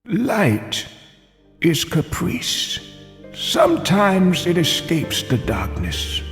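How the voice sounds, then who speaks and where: A man's voice: sits high, huge pitch swings, full character voice. A man playing a solemn, cryptic narrator who delivers poetic lines portentously in a game cutscene, with long echoing reverb. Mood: solemn, ominous. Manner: slow, portentous, theatrical. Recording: long echoing reverb under loud music.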